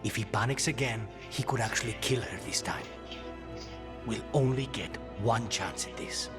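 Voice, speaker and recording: A man's voice: mid-range voice, moderate pace, close to an everyday voice. A man playing a troubled, intense assassin in a video game, speaking with a Spanish accent. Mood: resolved. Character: troubled male assassin sharing his mind with a demon. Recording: game music behind.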